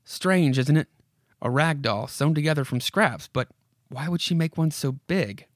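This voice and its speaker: A man's voice: fairly high pitch, lively intonation, measured pace, dry recording. A man puts on the voice of a haunted old house from a horror comic. It speaks straight to its victim with dramatic urgency, insistent and commanding. The sound is dry, with hardly any room around it. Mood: urgent, commanding. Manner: dramatic, commanding second-person address with repeated imperatives. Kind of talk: horror comic read aloud.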